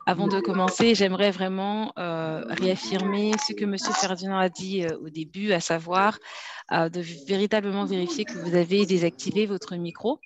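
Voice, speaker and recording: A woman's voice: mid-range voice, fairly even intonation, a crowd in the background. A woman from France giving a structured, polite masterclass presentation over a video call. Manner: polite, measured, structured, some fillers. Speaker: guest speaker, trainer.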